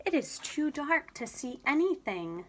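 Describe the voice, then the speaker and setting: A woman reading a children's storybook aloud in an expressive, deliberate storytelling manner. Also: high-pitched, a little hiss or hum. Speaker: storybook reader.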